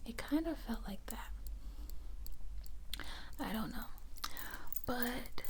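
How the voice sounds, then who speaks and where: A woman's voice: a little high, expressive, a little hiss or hum. Whispering woman telling an ASMR story. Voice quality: breathy, unvoiced whisper. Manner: rambling, repeats words and phrases slowly for effect. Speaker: ASMR creator.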